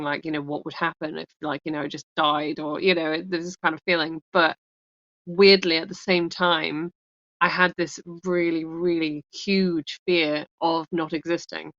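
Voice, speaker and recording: A woman with a fairly low voice speaks candidly as an interview guest. She rambles, breaks off mid-sentence and laughs easily. The sound is dry and muffled, like lo-fi call audio. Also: fairly bright tone, dry recording. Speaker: author guest.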